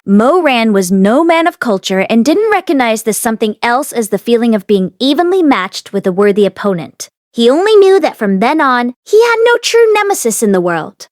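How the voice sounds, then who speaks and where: A woman reading a fantasy novel aloud as an audiobook narrator, in expressive scripted storytelling. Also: a little high, no echo, studio quality. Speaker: audiobook reader and read-along host.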